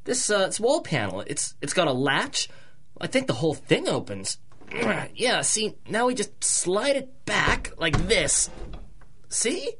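Surly crook with swooping pitch. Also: male voice, big pitch swings, measured pace, fairly bright tone, a little hiss or hum, full character voice. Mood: uneasy. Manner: sarcastic, uneducated street slang. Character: surly, sneering male crook, skittish henchman. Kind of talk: 1930s radio drama acting.

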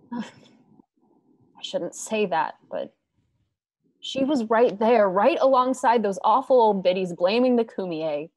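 A woman's voice: fairly low pitch, a little mellow, no room sound, poor audio. Bitter, troubled frontier wife, played by a woman. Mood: resentful. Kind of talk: radio drama acting.

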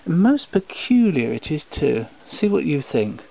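Man with a very animated, swooping delivery. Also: on the high side, unhurried, vocal fry. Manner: theatrical, mock-formal announcer address. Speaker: collector presenting antique phonograph cylinders. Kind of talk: video presentation to viewers. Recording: dull lo-fi sound with faint noise.

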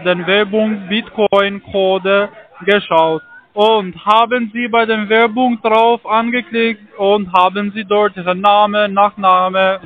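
A man with a foreign accent, not a native speaker, making a phone sales pitch in halting, ungrammatical sentences. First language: not German. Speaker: call centre phone salesman. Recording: muffled phone line.

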